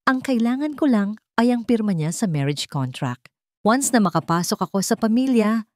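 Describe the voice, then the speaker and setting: A woman puts on a calculating, scheming businesswoman in a storybook drama, pitched higher than the narration. She sounds cold and sure of herself, lively in tone, in a dry, close recording. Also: no echo, natural voice. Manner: cold, confident, mixing English in.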